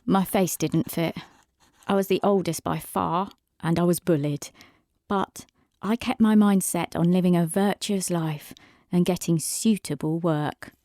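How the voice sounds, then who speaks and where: A woman's voice: fairly low pitch, unhurried, dark tone, no echo. A woman playing a prim, virtuous seamstress and outsider in a period audio drama, earnest and proper. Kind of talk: period radio drama acting with narration.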